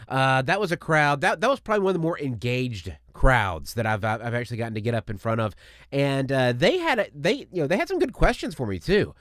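A man's voice: medium pitch, very animated, a little fast, fairly bright tone, no room sound, clean studio sound. A man hosting a podcast in a chatty, rambling, upbeat way, his voice a bit strained from allergies. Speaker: podcast host. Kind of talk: casual podcast monologue. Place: studio.

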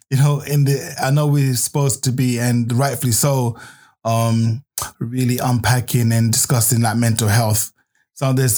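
A man's voice: mid-range voice, darkish tone. A man hosting a podcast interview, chatty and laughing often, restarting his sentences. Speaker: podcast host.

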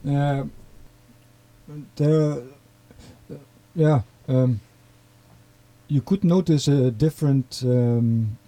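A man's voice: medium pitch, steady tone, warm tone, low-quality recording. A man from the east of the Netherlands with a Dutch accent, talking hesitantly as a guest in casual conversation. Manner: hesitant, halting with many fillers.

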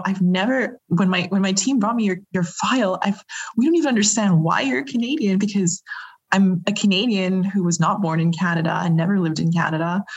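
A woman recounting her career in a candid interview, lively and quick to laugh, often restarting her sentences. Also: mid-range voice, slightly rough. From grew up around Asia. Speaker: interview guest.

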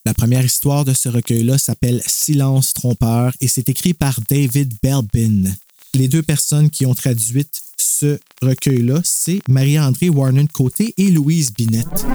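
Quebecois guy hosting a podcast, doing the intro, mellow medium voice. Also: mid-range voice. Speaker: podcast host.

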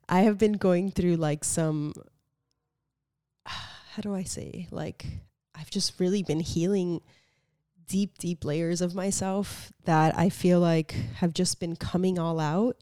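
A woman's voice: lowish voice, fairly even intonation, slow, warm tone, no echo, clean studio sound. A young woman hosting her own podcast, sounding congested and nasal from allergies, talking openly and from the heart with many casual fillers. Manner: open, heartfelt, frequent "like" fillers. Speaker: podcast host. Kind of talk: casual solo podcast talk. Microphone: studio podcast mic.

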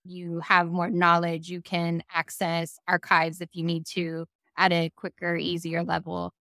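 A woman's voice: lowish voice, completely flat delivery, a little slow, sharp tone, no room sound, clean studio sound. A woman moderating a panel discussion in a conversational way, posing questions to guests.

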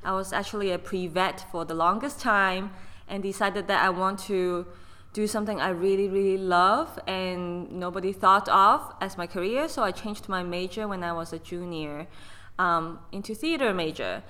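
A woman's voice: mid-range voice, normal speed, pushed and bright. A young woman with a Hong Kong accent, a guest costume designer explaining her work in a podcast interview with frequent ums.

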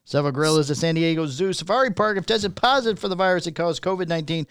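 A man co-hosting a talk show, reading news items aloud in a casual way and often halting to find his place. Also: on the high side, a bit bright, clean tone, dry recording, clean studio sound. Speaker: podcast co-host reading news.

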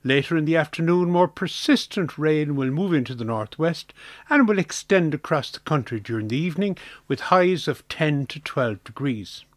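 A man reading the weather forecast on community radio in a plain, steady way. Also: on the high side, normal speed, no echo. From Ireland.

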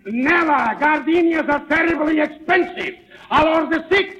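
An actor playing a fretful, long-suffering companion who pleads excitably in a 1930s radio drama. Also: male voice, very high voice, moderate pace, rough recording. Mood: exasperated. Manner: excitable, exasperated.

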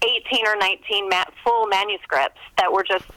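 A woman with a bright, clear-edged voice speaks as an interview guest. The sound is dry and close, and she talks in a casual storytelling style. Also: mid-range voice, fairly even intonation, moderate pace, dry recording, rough recording.

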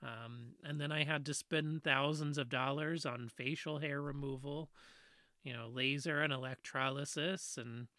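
A woman with a fairly deep voice, earnestly explaining a topic in a casual discussion. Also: fairly even intonation, unhurried, a bit bright, dry recording, clean studio sound. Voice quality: fairly deep voice she trained herself to talk around. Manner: earnest, explanatory, with frequent "you know" fillers.

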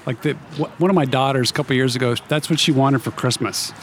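A man's voice: mid-range voice, fairly even intonation, quick pace, slight background noise. A man chatting as a podcast guest, talkative and laughing often. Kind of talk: casual chat.

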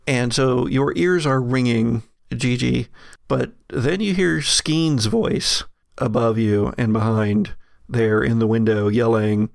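Very gravelly male game master. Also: medium pitch, dry recording, clean studio sound. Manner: halting, pausing mid-sentence for suspense. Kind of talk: narrating an improvised horror tabletop game.

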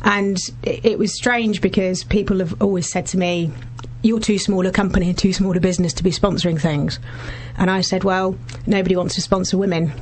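A woman in her forties with a husky voice, a business founder talking warmly and earnestly as a guest in a radio studio interview. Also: lowish voice, steady tone, brisk, few pauses, rough recording. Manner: warm, effusive, speaks in long earnest runs with restarts.

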